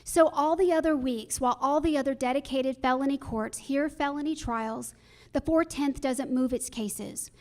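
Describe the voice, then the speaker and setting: A woman with a clearly high-pitched voice speaks as a judicial candidate at a forum. Her answers are measured and explanatory, laid out point by point. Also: darkish tone.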